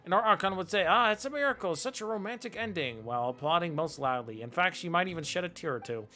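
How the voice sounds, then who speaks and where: Theatrical game shopkeeper, played by a streamer: mid-pitched, animated, pompous, mock-polite, declaiming every flourish. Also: quick pace. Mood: grandiose, jovial. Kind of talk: reading video game dialogue aloud.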